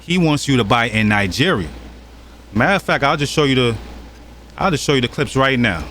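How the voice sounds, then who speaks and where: Mid-pitched American man, mocking commentary host, faint noise in the room. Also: animated, a little fast, fairly bright tone. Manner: mocking, challenging. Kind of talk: reaction commentary.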